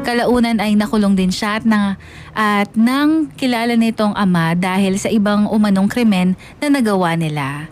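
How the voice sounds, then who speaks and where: A woman with a Filipino accent narrates a personal story as a radio host. Her pitch sits in the middle and the delivery is dramatic storytelling. Light music plays behind her. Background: background music.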